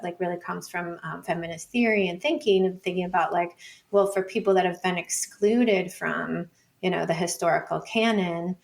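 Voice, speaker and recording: A woman's voice: lowish voice, creaky. A woman guest on an interview show, a professor explaining her field thoughtfully in loose, conversational phrasing. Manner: thoughtful, hedging with "like" and "sort of". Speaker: guest, professor of urban studies and planning.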